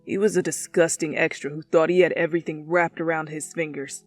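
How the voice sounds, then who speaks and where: A woman voicing a cocky, blunt teenage delinquent boy in an audio fan story, gruff and dismissive. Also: low voice, fairly even intonation, a little fast, faint music behind. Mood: scornful, matter-of-fact.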